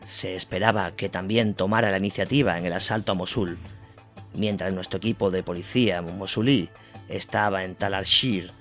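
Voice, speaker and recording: A man's voice: deep voice, steady tone, normal speed, fairly bright tone. A man from reading a scripted documentary war narration as the narrator of an audio drama. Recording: music bed under the voice.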